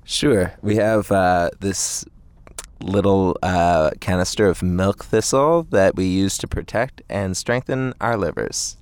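A man answering interview questions as a band frontman, easygoing, measured and positive. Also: low-pitched, darkish tone, smooth, no room sound. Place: inside a parked tour van.

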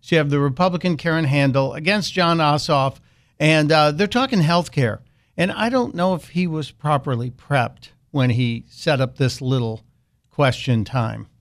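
A man on a studio mic running his talk radio show, his voice sitting a bit high for a man. He talks loosely and opinionated, sliding into sarcastic, mocking asides. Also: normal speed.

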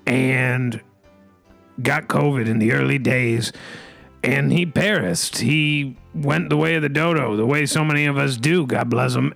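A man's voice: a little high, gravelly, a bit bright. A man hosting an improvised comedy podcast, rambling in a mock-pompous way with folksy catchphrases.

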